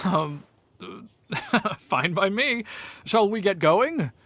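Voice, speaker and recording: An actor playing a polite, friendly, modest gentleman winemaker in a video game, speaking in a courteous, earnest, slightly hesitant way. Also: high voice, animated, drawn-out words, slight background noise, full character voice. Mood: amiable. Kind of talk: scripted video game character acting. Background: background music.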